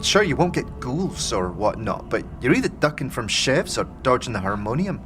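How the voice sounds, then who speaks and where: A man's voice: medium pitch, sing-song, moderate pace, music in the background, close to an everyday voice. A man voicing a wary, streetwise young tiefling woman in a fantasy audio drama, talking in clipped street cant. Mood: wry, matter-of-fact. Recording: clear background ambience noise.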